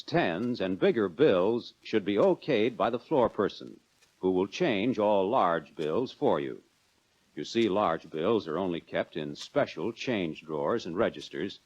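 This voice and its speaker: An American man narrating a 1940s instructional film in a measured, instructive manner, addressing the viewer directly. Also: deep voice, darkish tone, breathy edge. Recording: dull lo-fi 1940s film soundtrack.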